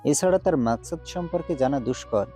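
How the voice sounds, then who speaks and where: A man's voice: on the high side, animated, brisk, dark tone, lightly acted. A man voicing a resolute, duty-bound demon hunter in an audio drama, speaking earnestly and solemnly. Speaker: voice actor. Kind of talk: Bengali audio drama acting. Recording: faint background music.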